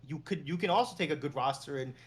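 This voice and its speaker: A man from New York City, a former team general manager and agent, talking as a guest in a relaxed, meandering way with the odd chuckle. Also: fairly high pitch, fast. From New York City, USA. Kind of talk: casual interview conversation.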